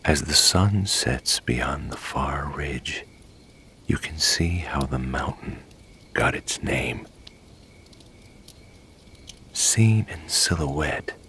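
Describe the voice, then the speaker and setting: A man narrating a scripted bedtime story in a breathy, near-whispered, hushed and lingering way. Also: a bass voice.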